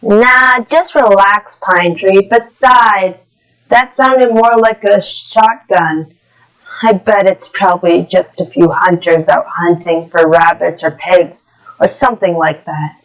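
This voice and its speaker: A woman's voice: mid-range voice, slow, low-quality recording. A woman voicing a cocky, scheming male demon in a human body, boastful and dismissive, in a cartoon comic dub. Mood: breezy, dismissive.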